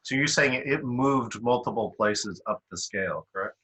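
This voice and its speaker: Man, slightly low, husky edge, informal discussion lead. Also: moderate pace, pushed and bright. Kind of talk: casual group discussion.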